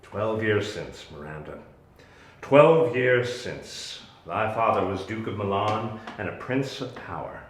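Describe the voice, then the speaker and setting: An actor playing a protective, commanding exiled magician duke and father, in solemn, deliberate Shakespearean verse. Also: fairly low pitch, lively intonation. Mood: grave, revealing. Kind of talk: Shakespearean dramatic reading. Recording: roomy, echoing sound.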